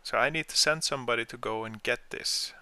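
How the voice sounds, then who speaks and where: A man explaining software step by step in an informal screen-share tutorial, as the trainer. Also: mid-range voice, brisk, clear, resonant tone, no echo.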